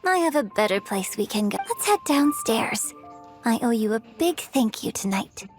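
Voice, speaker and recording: A gracious, high-voiced young opera star. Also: female voice, very animated, normal speed, soft background music. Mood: relieved, grateful. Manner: courteous, composed, apologetic phrasing. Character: famous young female opera performer, gracious and polite. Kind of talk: video game voice acting.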